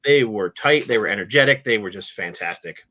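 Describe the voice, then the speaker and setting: A man's voice: lowish voice, lively intonation, fairly quick, sharp tone, dull audio. A middle-aged man hosting a music podcast in casual chat, matter-of-fact and openly opinionated. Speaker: podcast host.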